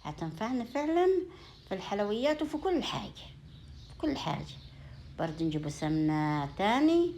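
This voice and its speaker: A woman vlogger speaks in Upper Egyptian Sa'idi dialect at an average female pitch. She talks casually and directly to her viewers, in a folksy manner sprinkled with blessings and terms of endearment. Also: very animated, a little fast, pauses often, darkish tone, slight background noise. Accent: Upper Egyptian (Sa'idi) Arabic. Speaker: home vlogger. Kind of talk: casual chatty vlog to viewers.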